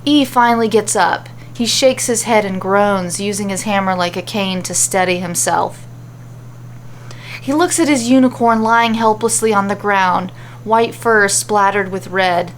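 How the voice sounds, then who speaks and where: A woman's voice: mid-range voice, slight background noise. A woman reading a scripted fantasy adventure story as its narrator, telling it dramatically.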